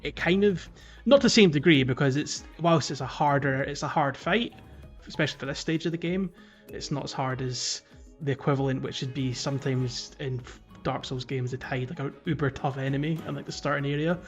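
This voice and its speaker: Male co-host, mid-range voice, halting informal game talk over quiet music. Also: mid-range voice. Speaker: podcast co-host. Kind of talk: casual video game podcast discussion.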